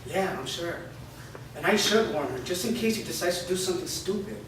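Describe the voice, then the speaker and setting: A man's voice: high voice, animated, brisk. A man reading the part of an earnest, reassuring young man in a staged play reading, with a casual, easygoing delivery. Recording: echoey room, far mic, hiss.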